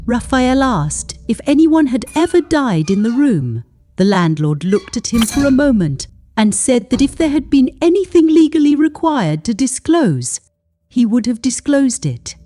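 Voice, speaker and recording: A woman reading a scripted horror story as narrator, in a measured, deliberate way. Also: a little high, warm tone.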